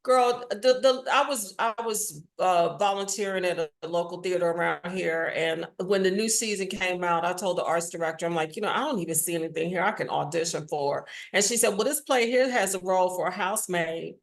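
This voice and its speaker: Woman, interview guest, lowish pitch, gravelly creak, frank, informal, candid storytelling. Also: fairly quick, few pauses. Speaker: interview guest, theatre maker and audio drama writer. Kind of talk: casual, candid interview conversation.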